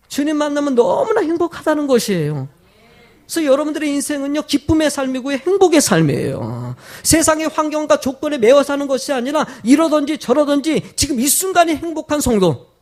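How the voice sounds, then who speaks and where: A male pastor delivers a sermon in a high-pitched voice with a heavy gravelly creak, strained by a cold. He leans hard on key phrases, stretching them out, and keeps turning to his congregation with rhetorical questions. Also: darkish tone. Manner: emphatic, with drawn-out stressed phrases. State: sore throat from a cold.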